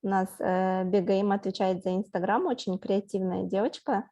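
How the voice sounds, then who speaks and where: A woman presenting her team's work in an online class, pausing often with fillers over a video call. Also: mid-range voice, fairly even intonation, dark tone. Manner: hesitant, many fillers. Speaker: student team member presenting project.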